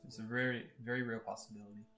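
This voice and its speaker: A young man commentating his own gameplay live, excitable and laughing often, going from loud disbelief to low mutters. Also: racing, faint music behind. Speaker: game streamer.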